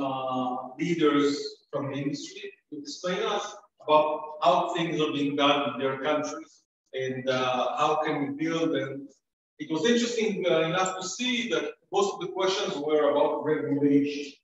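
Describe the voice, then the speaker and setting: A man moderating a seminar, speaking haltingly with many fillers. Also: fairly high pitch. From Israel. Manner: halting, frequent uh and um. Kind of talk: hesitant presentation talk with many fillers. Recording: echoey, distant, dull sound with faint noise.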